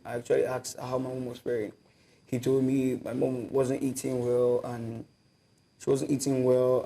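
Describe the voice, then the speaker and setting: Teen guy, gravelly and dark, flat, repeats himself a lot, faint noise. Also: mid-range voice. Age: teenager. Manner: repetitive, emotional recounting. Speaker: rescued youth interviewee.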